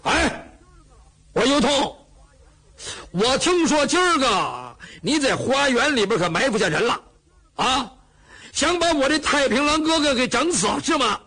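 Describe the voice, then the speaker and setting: A man puts on a brash young bandit hero who bellows and blusters, his husky voice pushed high and swinging wildly. He is blunt and loud in every line. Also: sing-song, normal speed, heavily acted. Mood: blustering.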